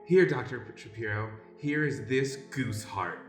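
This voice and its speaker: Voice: mid-range voice, measured pace, lightly acted. A performer voicing an eager, dramatic student of magic in an improvised comedic radio play. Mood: conspiratorial. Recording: roomy echo with music underneath.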